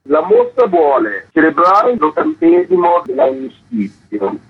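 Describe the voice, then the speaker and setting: A man with a raspy, creaky voice explaining his work as a curator being interviewed. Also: high-pitched, big pitch swings, a little slow. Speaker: photography exhibition curator interviewed as guest. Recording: dull, lo-fi line.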